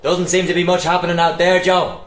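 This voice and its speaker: Male actor as unsure young irish rebel lad, highish voice, roomy echo, radio drama. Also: completely flat delivery, fairly quick, slight background noise, close to an everyday voice. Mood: restless, uneasy. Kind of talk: radio play dialogue. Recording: echoey, roomy sound.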